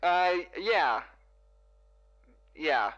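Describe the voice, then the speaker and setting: A nervy agent on a two-way radio, with a fairly high, bright voice. He talks haltingly, his pitch swinging in a lively way, in an audio drama about a secret facility. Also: no echo, muffled recording, full character voice. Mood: anxious, hesitant. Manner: hesitant, halting. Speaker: staff character in audio drama.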